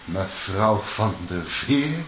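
Awed admirer, very slow, echoey room, radio comedy. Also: male voice, fairly low pitch, animated, a bit bright, slight background noise, heavily acted. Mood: surprised. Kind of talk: Dutch radio comedy drama acting.

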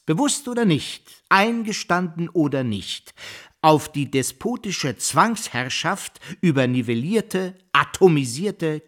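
An actor playing a self-satisfied, coolly provocative intellectual lecturing pompously in a German radio drama. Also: male voice, fairly high pitch, fairly bright tone.

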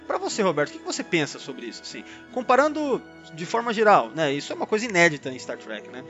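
A man's voice: a little high, sing-song, quick pace, a bit bright. A Brazilian man co-hosting a podcast in a casual fan discussion, often checking for agreement as he talks. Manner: conversational, frequently seeking agreement with tag questions. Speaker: podcast co-host. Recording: dull lo-fi audio, music underneath. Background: background music.

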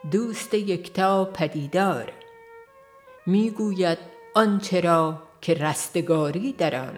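A woman with a somewhat low voice reads a devotional book aloud, unhurried and earnest. She presses gently on her rhetorical questions. Quiet music plays faintly behind her. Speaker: audiobook reader. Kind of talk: scripted reading of a religious book.

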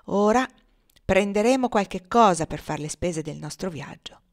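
Lady reading as a cold calm runaway woman, low steady voice, storybook. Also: a little slow. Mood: hard. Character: grim, resolute woman fugitive, cold and calm.

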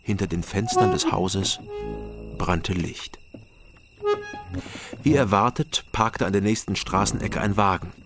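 A watchful, brooding investigator narrating a radio crime story. His very deep voice is sober and terse, set over clearly heard music. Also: unhurried, long pauses. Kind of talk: first-person radio crime drama narration.

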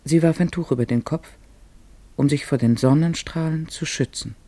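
A man's voice: fairly high pitch, moderate pace, warm tone, dry recording. A man narrating a literary radio play in a scripted storytelling style.

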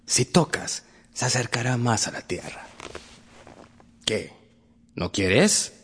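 An actor playing a cynical, languid aristocrat in a radio drama, with theatrical, teasing, drawn-out phrasing. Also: male voice, medium pitch, big pitch swings, slight background noise. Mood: coaxing, amused. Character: languid, cynical aristocratic gentleman, coaxing his friend. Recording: long hall reverb. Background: faint music.

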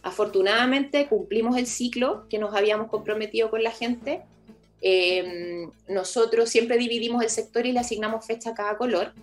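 A woman's voice: on the high side, fairly even intonation, normal speed. Chilean woman explaining over faint music. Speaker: municipal project official being interviewed. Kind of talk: informal explanatory radio interview.

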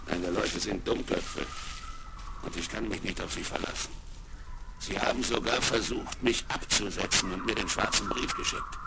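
A cunning old pirate leader in a vintage radio play, his gravelly voice roaming up and down as he coaxes and threatens. The sound comes from a crackly vinyl record with loud surface noise. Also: fairly low pitch. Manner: sly and wheedling, bursting into gruff commands. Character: cunning scheming male pirate cook, one-legged leader. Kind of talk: 1970s radio play acting.

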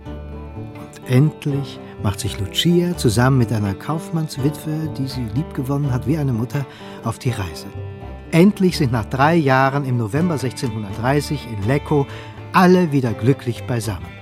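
Male narrator, calm storytelling, radio drama, music underneath. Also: mid-range voice, normal speed. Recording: radio play with music behind.

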